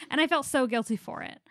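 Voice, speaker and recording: A woman's voice: high voice, moderate pace, a bit bright, no echo, clean studio sound. A young woman co-hosting a casual podcast, talking at length in a rambling, anxious way. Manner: chatty, rambling, anxious storytelling. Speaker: podcast co-host. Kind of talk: casual chat.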